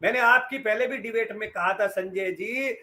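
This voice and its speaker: A man's voice: sits high, normal speed, sharp tone. A middle-aged man gives sarcastic, emphatic political commentary as a journalist on a debate panel. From western Uttar Pradesh, India.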